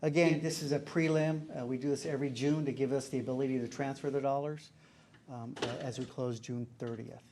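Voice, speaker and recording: A man presenting at a board meeting, speaking in a relaxed, chatty way with frequent ums. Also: medium pitch, brisk, noisy background. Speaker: finance administrator presenting to a board.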